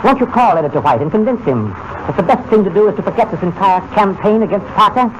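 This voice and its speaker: An actor playing a falsely solicitous, insistent invisible villain in an old-time radio drama. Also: male voice, high-pitched, animated, quick pace. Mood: falsely concerned, urging. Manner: insistent, falsely polite warnings. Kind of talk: 1940s radio drama acting. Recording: noisy, muffled old radio broadcast.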